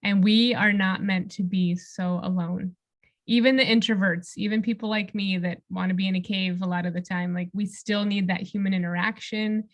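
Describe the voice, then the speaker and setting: A woman's voice: medium pitch, steady tone, moderate pace. Woman co-hosting a conversational podcast. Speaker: podcast co-host.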